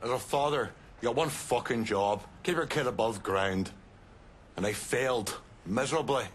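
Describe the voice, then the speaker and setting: An actor playing a profane, embittered middle-aged Irish mobster in a video game cutscene, blunt and coarse. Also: male voice, mid-range voice, normal speed, a little hiss or hum, close to an everyday voice. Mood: bitter, remorseful. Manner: blunt, coarse, swearing freely. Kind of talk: dramatic game cutscene acting. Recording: music behind the voice.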